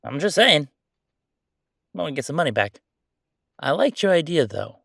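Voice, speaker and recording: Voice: mid-range voice, sing-song, moderate pace, gravelly, fairly bright tone, no room sound, clean studio sound, heavily acted. An actor playing a chatty, sardonic ghost roommate in a roleplay audio, talking in a casual, teasing, exclamatory way. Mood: upbeat, casual. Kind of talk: roleplay audio acting, conversational.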